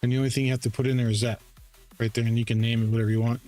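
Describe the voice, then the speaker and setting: Halting man walking through software, music behind. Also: mid-range voice, level tone. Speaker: trading bot developer and livestream host. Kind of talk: casual screen-share tutorial. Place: live voice call on a screen-share stream.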